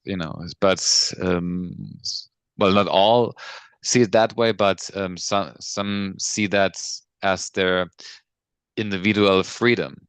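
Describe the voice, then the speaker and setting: A man's voice: low voice, fairly even intonation, takes it slowly, clean tone, no room sound. A German man whose first language is German, hosting a casual podcast talk and asking questions with plenty of hedging fillers. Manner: questioning, hedging with lots of "you know" and "um". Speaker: podcast host. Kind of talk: casual conversation.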